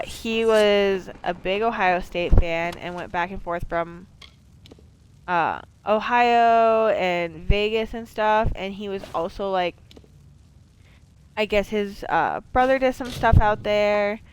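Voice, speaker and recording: A woman's voice: mid-range voice, unhurried, slight background noise. Chatty rambling woman streamer. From Maine. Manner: rambling, chatty, lots of fillers and swearing. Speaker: game streamer. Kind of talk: casual let's-play chat.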